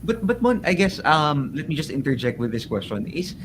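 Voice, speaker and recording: A man's voice: fairly high pitch, sing-song, a little fast. A man with a Filipino accent moderating a question-and-answer session on a call, chatty and hesitant as he thinks aloud. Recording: noisy online conference call audio.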